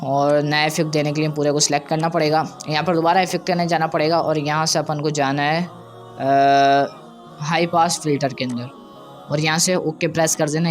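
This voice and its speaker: A man hosting a casual, step-by-step software tutorial. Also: fairly high pitch. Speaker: tutorial host.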